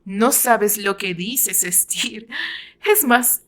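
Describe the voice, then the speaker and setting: A woman's voice: mid-range voice, extremely slow, a bit bright. Cocky young heartthrob played by a female narrator, mocking and boastful, for a romantic audio story.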